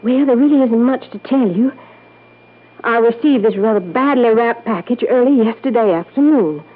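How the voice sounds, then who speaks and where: A woman's voice: on the high side, normal speed, lightly acted. An actress playing a dignified, wealthy middle-aged lady in an old-time radio drama, genteel, composed and confiding. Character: dignified, wealthy Southern lady in her late forties. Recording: tinny, band-limited vintage radio recording.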